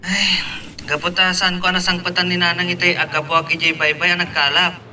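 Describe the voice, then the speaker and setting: A man's voice: high voice, completely flat delivery, brisk, bright, forceful tone, slight background noise. A man playing a concerned young older brother in an Ilocano audio drama, with an Ilocano accent.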